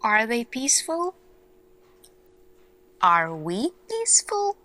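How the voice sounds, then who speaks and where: A woman performing an ASMR sci-fi roleplay as an earnest, thoughtful lead scientist, speaking deliberately with pauses. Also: on the high side, big pitch swings, bright, forceful tone. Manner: slow, deliberate, pausing, questioning delivery. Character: earnest, thoughtful female lead scientist addressing her team.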